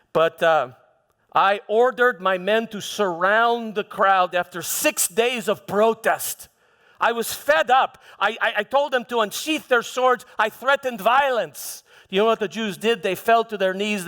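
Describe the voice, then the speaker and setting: A man playing a proud, vengeful Roman governor in a theatrical monologue, speaking emphatically with halting repetitions and dramatic pauses. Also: sits high, fairly bright tone. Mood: fed up, grave. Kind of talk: dramatic first-person monologue in church. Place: church hall, live performance. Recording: echoey hall with background music.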